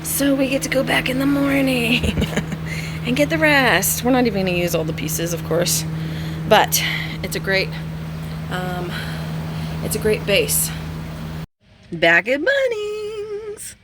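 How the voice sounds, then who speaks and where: A woman's voice: a little high, animated, takes it slowly. A woman talking casually and informally, explaining things as she goes in a vlog style. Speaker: vlogger documenting a DIY school bus conversion. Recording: clear ambient background noise.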